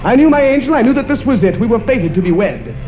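A man's voice: extremely high pitch, sing-song, fast, heavy background noise. A man hosting a 1940s-style radio comedy, speaking in a mock-romantic, theatrical way and repeating phrases for effect. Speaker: comedy radio show host. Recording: muffled old radio recording, music behind. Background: music.

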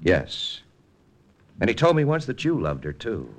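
Deep-voiced hardboiled American lieutenant. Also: male voice, wildly swooping pitch, heavily acted. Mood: cool, persuasive. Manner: hardboiled, deliberate dramatic storytelling. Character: cool, persuasive American lieutenant, wartime intelligence agent. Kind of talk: 1940s radio drama narration and dialogue. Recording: old radio broadcast with music.

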